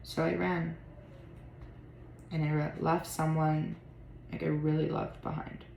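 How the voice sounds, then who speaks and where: A young woman in her twenties opening up to a therapist, anxious and guilty. Her words come out haltingly, full of little fillers, with gravel in the voice. The room adds a clear echo and a faint hiss underneath. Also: sits low, level tone, a little mellow. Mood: confessional. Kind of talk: intimate drama dialogue.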